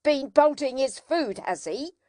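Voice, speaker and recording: A woman reading aloud, voicing a harsh, sharp-tempered older sister who scolds loudly. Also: mid-range voice, huge pitch swings, drawn-out words, no echo, studio quality, full character voice. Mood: scolding.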